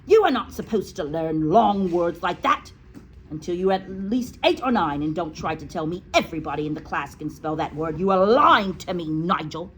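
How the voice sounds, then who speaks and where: Storybook villain headmistress, played by a woman reader: snappy, sarcastic, swooping pitch, faint room noise. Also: lively intonation, normal speed, close to an everyday voice. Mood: scornful, angry. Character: menacing, scornful, bullying female school headmistress.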